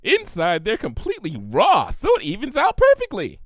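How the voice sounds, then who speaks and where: Man putting on a squeaky, bubbly girl pony. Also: wildly swooping pitch, moderate pace, a bit bright, no room sound, studio quality, heavily acted. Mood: breezy reassurance. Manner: bubbly, gushing, rambling. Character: hyperactive, sweet-toothed, cheerful young female pony.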